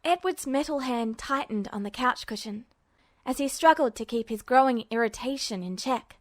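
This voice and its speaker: A woman reading fan fiction aloud as a podfic, steady and even. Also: a little high, moderate pace, no echo, studio quality. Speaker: narrator.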